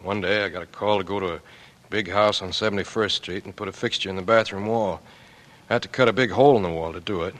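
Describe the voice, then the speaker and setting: An actor playing a guilt-ridden, weary young man turned burglar, grave and halting, in 1940s radio drama style. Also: low voice, a bit bright. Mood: confessional, subdued.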